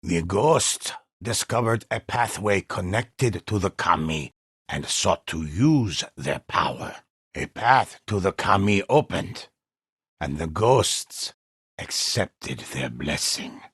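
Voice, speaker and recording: An actor playing an older, solemn but inviting storyteller who recounts ghostly samurai legends in a theatrical, gravelly, husky voice for a video game. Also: male voice, deep voice, lively intonation, fairly bright tone, dry recording, clean studio sound, full character voice. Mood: ominous, solemn. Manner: measured, theatrical, savoring words. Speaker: storyteller narrator. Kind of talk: dramatic scripted tale-telling.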